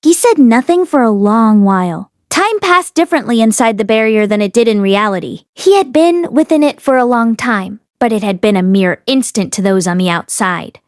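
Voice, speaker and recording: High-voiced woman reading an audiobook. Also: lively intonation, moderate pace, few pauses, darkish tone, dry recording, studio quality. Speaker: audiobook reader and host. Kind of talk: expressive scripted narration.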